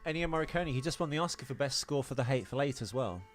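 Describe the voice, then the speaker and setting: A man's voice: medium pitch, expressive, very fast, soft background music. A man hosting a podcast in casual, digressive, teasing film chat. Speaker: podcast host.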